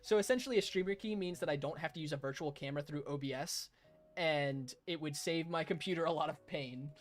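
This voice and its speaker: Hoarse young man chatting on stream. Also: a little high, fast. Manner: chatty, chuckles often, thanks viewers warmly. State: hoarse, raspy throat. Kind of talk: casual live-stream chat reading viewer comments.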